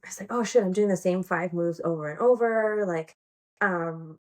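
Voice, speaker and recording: A woman's voice: on the low side, moderate pace. An American woman chatting casually as an interview guest, informal and giggly, with lots of slang. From United States. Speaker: podcast guest, pole dance instructor and performer.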